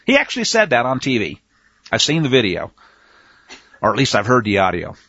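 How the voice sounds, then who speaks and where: Man, bible teacher, husky, lively pitch swings, dull lo-fi dry recording. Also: brisk, dry recording. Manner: emphatic, sarcastic asides, frequent restarts. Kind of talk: folksy scripture commentary.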